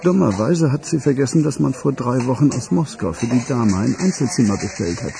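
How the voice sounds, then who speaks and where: A man's voice: mid-range voice, expressive, a little fast, creaky, background noise. A man playing a nervous, gossipy underling in a radio crime drama, hesitant and deferential. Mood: eager to please. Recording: crowd chatter behind. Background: crowd.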